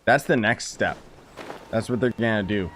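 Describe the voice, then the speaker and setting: A man's voice: fairly low pitch, moderate pace, a bit bright, a little hiss or hum. A man giving casual, sarcastic live commentary as a video game streamer, in an informal way. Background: faint game music.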